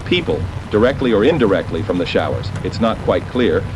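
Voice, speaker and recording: An actor playing a concerned, folksy local radio host who calmly guides listeners through an emergency in a horror drama. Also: male voice, fairly low pitch, a little fast, natural voice. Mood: concerned, steady. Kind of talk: informal emergency radio broadcast. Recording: clear background noise.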